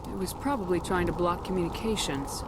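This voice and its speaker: An actress playing a firm space soldier in a video game, speaking directly and with authority. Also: female voice, lowish voice, a little fast, heavy background noise, close to an everyday voice. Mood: firm, questioning. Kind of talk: dramatic video game acting.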